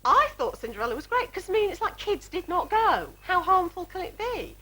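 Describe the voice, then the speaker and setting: A woman's voice: extremely high pitch, sing-song, brisk, sharp tone, slight background noise. A woman chatting informally as an interviewee.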